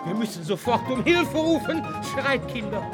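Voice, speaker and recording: A man's voice: very high voice, big pitch swings, normal speed, over loud music. An actor playing a fussy, easily alarmed male schoolteacher in a children's radio play, agitated and helpless. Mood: panicked.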